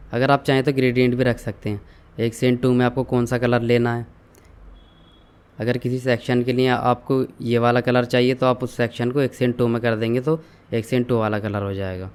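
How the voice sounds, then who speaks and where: A young man calmly walking viewers through an online store setup, step by step, mixing English terms into his explanations. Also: mid-range voice, clear, resonant tone. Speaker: online tutorial instructor. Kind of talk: screen-share software walkthrough.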